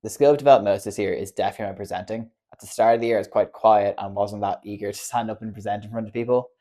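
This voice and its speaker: A man in his early twenties, a graduate student, speaking briskly and assuredly about his course. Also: lowish voice, steady tone, warm tone. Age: 20s. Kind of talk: student testimonial.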